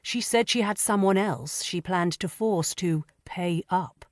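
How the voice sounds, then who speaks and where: A woman's voice: on the low side, dry recording, natural voice. A woman voicing a dry, composed young marquis. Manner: dry, measured.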